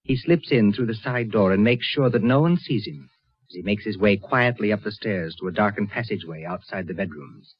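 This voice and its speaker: A man narrating a radio mystery as a measured storyteller, with deliberate, suspenseful phrasing. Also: fairly low pitch, slightly rough. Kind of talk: scripted present-tense radio narration. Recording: dull lo-fi radio drama recording.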